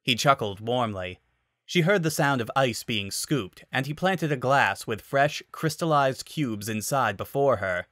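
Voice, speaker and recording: A man's voice: mid-range voice, expressive, measured pace, a bit bright, no room sound. Male storyteller, dry close sound. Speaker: narrator. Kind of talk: dramatic reading of fan fiction.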